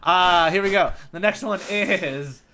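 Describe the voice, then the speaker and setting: A man's voice: high-pitched, measured pace, crowd noise. A man co-hosting a podcast as quizmaster, handing out clues and bantering playfully in casual chat.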